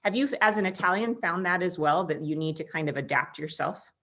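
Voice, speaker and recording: A woman's voice: fairly low pitch, fairly quick, fairly bright tone. A woman co-hosting a book club discussion who chats casually and reads passages from the novel aloud. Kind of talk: casual discussion and reading quotes.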